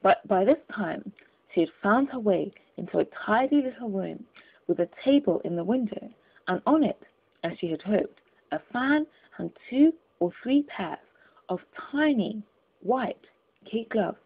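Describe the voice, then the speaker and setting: A woman reading a classic children's story aloud in an expressive, careful storytelling manner. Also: mid-range voice, a little slow, gravelly, husky. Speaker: audiobook reader. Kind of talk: storybook narration. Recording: tinny phone-like audio.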